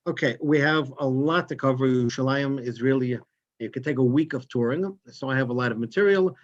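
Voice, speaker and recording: A man from New York with a New York accent, giving a quick, chatty guest lecture over a video call. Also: medium pitch. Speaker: guest speaker, tour guide. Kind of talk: Zoom lecture. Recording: dull lo-fi Zoom audio.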